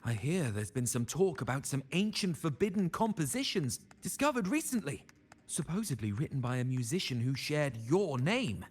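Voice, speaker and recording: Game role: nosy gossiping gentleman. Highish, very animated, pointed and insinuating. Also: moderate pace, breathy edge. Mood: prying, insinuating. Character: inquisitive, gossiping gentleman probing with rumors. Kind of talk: dramatic video game voice acting. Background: background music.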